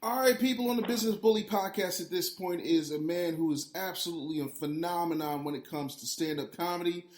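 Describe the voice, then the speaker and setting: High-voiced man hosting in an echoey room. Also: fairly quick, few pauses, a little hiss or hum. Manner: hyped, slangy, effusive. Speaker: podcast host. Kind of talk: casual interview and ad read. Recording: roomy, echoey sound.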